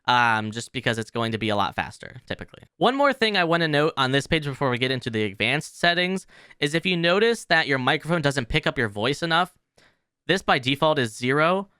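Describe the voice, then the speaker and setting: Bright, lively man giving a tutorial. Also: fairly high pitch, fairly quick, clear, resonant tone, dry recording, studio quality. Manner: informal, explanatory. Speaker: tutorial host. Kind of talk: casual software tutorial narration.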